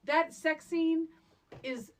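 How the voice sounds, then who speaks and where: A woman's voice: extremely high pitch, steady tone, extremely slow. A woman co-hosting a podcast, chatting casually and comically about a movie in a blunt, wry, exasperated manner. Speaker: podcast co-host. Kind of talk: casual comedic movie-discussion chat.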